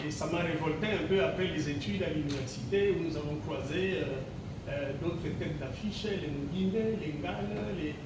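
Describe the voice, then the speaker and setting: A man's voice: fairly high pitch, moderate pace. A man in his sixties from Congo, a teacher giving a reflective gala speech, hesitant and full of fillers and repeated words. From Congo (DRC). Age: 60s. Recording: very echoey hall, distant mic, background noise.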